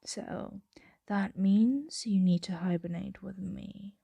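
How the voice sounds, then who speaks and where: Woman voicing a slow, breathy, possessive dragon. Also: mid-range voice, warm tone, dry recording, heavily acted. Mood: calmly insistent. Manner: slow, deliberate, often dropping into whispers. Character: possessive, doting female dragon hoarding a human captive. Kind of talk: intimate ASMR roleplay.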